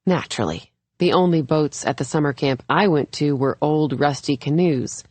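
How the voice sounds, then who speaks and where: A woman's voice: low voice, moderate pace, darkish tone, dry recording. A woman reading a first-person thriller audiobook as its narrator, in a scripted storytelling style.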